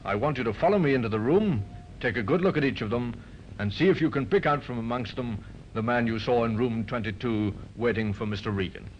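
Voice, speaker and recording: A man plays a firm, methodical police inspector in an old radio crime drama. His voice is a little high, measured and authoritative, on a dull recording with faint noise. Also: lightly acted. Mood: grave, instructing. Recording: muffled, noisy 1950s radio recording.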